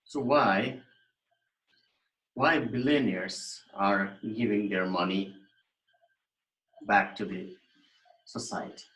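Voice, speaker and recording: A man, lecturing on a recording in a fairly low voice. His explanations are thoughtful and broken by fillers and restarts, and the room adds a noticeable echo. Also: steady tone, slow, long pauses, a bit bright. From Nepal. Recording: echoey room.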